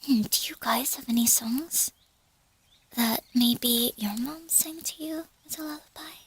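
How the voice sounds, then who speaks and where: Soft, high-voiced whispering woman. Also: slight background noise. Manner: gentle, unhurried, chatting to listeners. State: hoarse. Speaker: streamer performing as a flower fairy character. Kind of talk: soft ASMR roleplay, whispering and a cappella lullaby singing.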